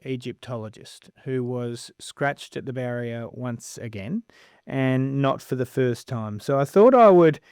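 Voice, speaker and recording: A man's voice: mid-range voice, clear, resonant tone, no room sound, studio quality. An Australian man co-hosting a horse racing show, chatting casually with blunt, opinionated remarks.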